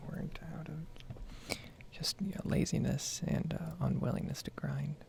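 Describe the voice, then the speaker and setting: Hushed, very creaky ASMR whisperer. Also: medium pitch, fairly even intonation. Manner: hushed, soft-spoken, unhurried. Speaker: gaming video host. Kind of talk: ASMR gameplay ramble.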